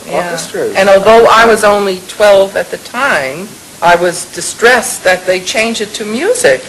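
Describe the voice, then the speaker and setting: An elderly woman in her eighties recounting childhood memories in a halting, repetitive way. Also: medium pitch, a bit bright, poor audio. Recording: faintly hissy interview recording.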